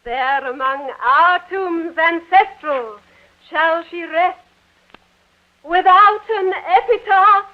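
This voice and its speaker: On a dull 1940 radio broadcast, a theatrical woman with a high voice. She speaks emphatically and dramatically, in the manner of an old radio play. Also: slow pace. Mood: mournful, grandiose. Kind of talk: 1940s radio drama acting.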